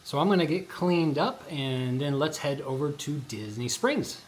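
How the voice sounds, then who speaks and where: A hoarse man vlogging in a casual, rambling way, full of fillers and restarts. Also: a little high, animated, moderate pace. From Colorado. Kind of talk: casual vlog chat. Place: outdoors at a shopping district in light rain. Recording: noisy outdoor recording.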